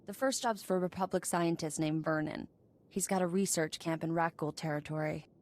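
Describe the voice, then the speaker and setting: A woman's voice: low-pitched, brisk, a little mellow, natural voice. A woman playing a tough, sardonic smuggler running a warehouse in a video game, blunt and wry. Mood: businesslike.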